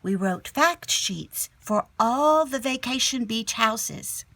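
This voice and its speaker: A woman teacher reading a children's picture book aloud expressively, sometimes dropping to a hushed tone. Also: fairly high pitch, slow, no room sound. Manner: animated storyteller, drops to hushed whispery tones.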